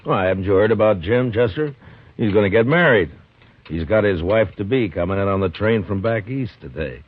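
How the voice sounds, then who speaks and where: A steady, very low-voiced frontier marshal in a 1950s radio play. He speaks in a measured, level-headed way and gently defends others. The broadcast sound is slightly muffled. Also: husky, no room sound. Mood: calm. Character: calm, steady frontier marshal, adult man. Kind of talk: 1950s radio drama acting.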